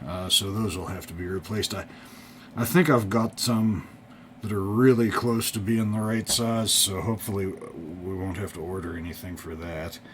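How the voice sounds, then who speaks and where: A man presenting a casual, unscripted hobby show-and-tell, thinking aloud in a halting, rambling way. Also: deep voice, fairly even intonation. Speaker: hobbyist video presenter restoring vintage electronics. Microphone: off-camera mic while filming the object. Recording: clear background noise.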